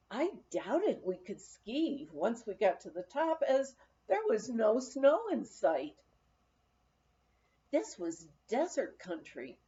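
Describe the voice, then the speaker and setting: A woman reading a memoir aloud as scripted narration, with measured, expressive storytelling. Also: fairly high pitch, dull audio. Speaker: audiobook reader.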